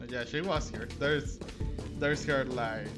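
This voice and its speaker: A man's voice: mid-range voice, slow. A man giving casual let's-play commentary, answering the game's dialogue in a hesitant, rambling way. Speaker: gaming video creator. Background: game music.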